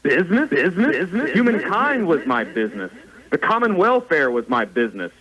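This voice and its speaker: A man's voice: on the high side, very animated, brisk, a bit bright, husky, lo-fi sound, heavily acted. A man playing the mournful ghost of a dead business partner in a radio play, solemn and declamatory. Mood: anguished regret. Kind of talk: theatrical radio play acting.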